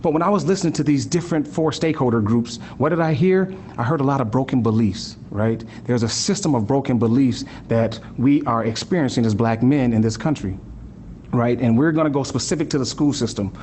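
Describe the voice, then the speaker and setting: A man's voice: medium pitch, fairly quick, a little mellow, slight background noise. Earnest man giving a talk. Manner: earnest, repetitive rhetorical questions with "right?". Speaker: school district director giving a talk. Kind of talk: live presentation to an audience.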